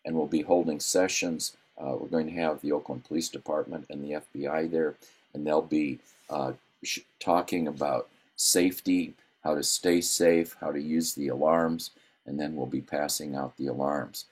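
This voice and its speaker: A man, the club president, reading out weekly announcements at a meeting, halting often with ums and uhs. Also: very deep voice, steady tone, breathy edge.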